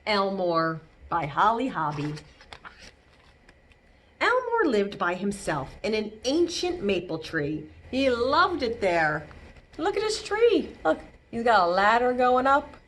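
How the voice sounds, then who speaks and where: A woman's voice: medium pitch, wildly swooping pitch, slow pace, a little hiss or hum. A woman reading a picture book aloud to young children as a storytime librarian, expressively, with simple asides explaining words and pictures.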